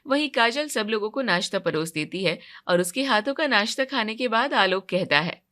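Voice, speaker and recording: A woman's voice: mid-range voice, expressive, moderate pace, a bit bright. A woman narrating a scripted family story in a dramatic storytelling style.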